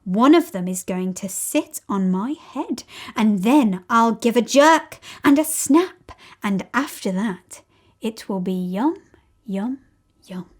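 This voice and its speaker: A woman's voice: on the high side, expressive, slow. A woman doing a sly gloating crocodile. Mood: scheming. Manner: sly, gloating, half-whispered. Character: greedy scheming male crocodile.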